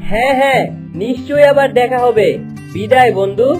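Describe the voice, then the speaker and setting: A man's voice: extremely high pitch, moderate pace, darkish tone, soft background music. A man playing a brave, kindly young hero in a cartoon, confident and declamatory in his delivery. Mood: kindly, determined. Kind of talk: cartoon story dubbing.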